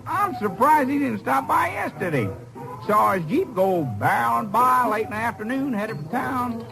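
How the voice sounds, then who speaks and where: Good-humored rustic short-order cook, very high swooping voice, grumbling, vintage 1950s radio. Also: male voice, animated, normal speed, creaky, heavily acted, poor audio. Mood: grumbling, chatty. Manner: folksy, chuckling, rustic grammar. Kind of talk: 1950s radio comedy-drama acting. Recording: old 1950s radio recording with music.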